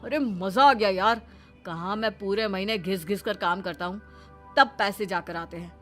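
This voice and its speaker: An actor voicing an easygoing, gullible husband in a Hindi moral-story cartoon, excitable and admiring. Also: medium pitch, normal speed, soft background music, lightly acted. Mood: pleased.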